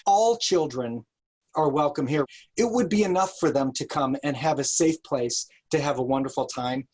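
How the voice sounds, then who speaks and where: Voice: mid-range voice, moderate pace, darkish tone, breathy edge. An interview guest speaking earnestly and emphatically, giving thoughtful answers in carefully weighed phrases. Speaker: director of a children's park, interviewed guest.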